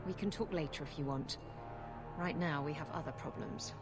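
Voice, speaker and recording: A woman acts out a roguish female pirate captain in a video game, her voice on the low side. She is flirtatious and brash, with a sardonic, teasing edge. Prominent music plays behind her. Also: normal speed, close to an everyday voice. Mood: defiant. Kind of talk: video game cutscene acting.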